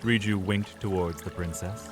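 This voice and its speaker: A man's voice: low voice, steady tone, normal speed. A man narrating a fantasy audio drama in a scripted, dramatic storytelling style. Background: music under the voice.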